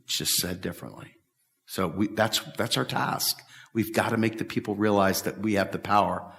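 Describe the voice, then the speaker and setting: A man's voice: fairly low pitch, normal speed, vocal fry, a bit bright. Emphatic man speaking in a big hall. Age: middle-aged. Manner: emphatic, repetitive, talks with big hand gestures. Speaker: speaker at a policy event. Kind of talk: animated public talk and Q&A. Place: large bright hall with windows, standing before a stage table. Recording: echoey hall from far off.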